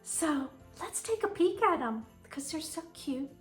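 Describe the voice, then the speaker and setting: A middle-aged woman with a voice made raspy by a sinus cold, chatting fondly and rambling as she shows her collection in her home kitchen. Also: high-pitched, animated, unhurried, soft background music. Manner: chatty, fond, meandering. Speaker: vlog host. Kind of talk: casual home tour chat.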